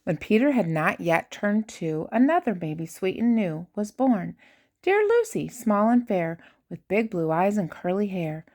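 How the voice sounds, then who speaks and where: An older woman, a grandmother, reads a rhyming children's picture book aloud with expressive, theatrical emphasis on key words. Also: medium pitch. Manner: theatrical emphasis, drawn-out key words, dropping to hushed asides.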